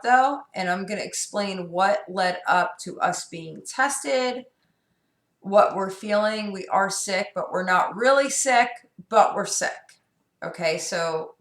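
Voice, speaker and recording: A woman's voice: lowish voice, fairly bright tone. A middle-aged woman from New York with a sore, scratchy throat, talking candidly and rambling in a casual vlog. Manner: rambling, candid, frequent fillers and restarts. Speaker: vlogger. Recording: echoey room.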